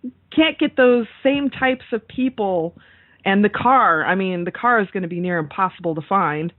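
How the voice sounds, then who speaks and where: A woman's voice: mid-range voice, moderate pace, no echo. A woman co-hosting a podcast, chatting casually about horror movies in an emphatic, exclamatory way, with casual swearing. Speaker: podcast co-host. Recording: narrow phone-like call audio, dry.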